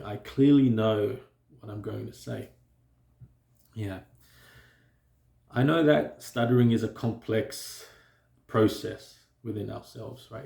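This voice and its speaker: Slow, gravelly-voiced man who stutters. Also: fairly low pitch, dark tone, roomy sound. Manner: slow and hesitant, with frequent fillers and restarts. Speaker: vlogger. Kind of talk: reflective personal talk to camera.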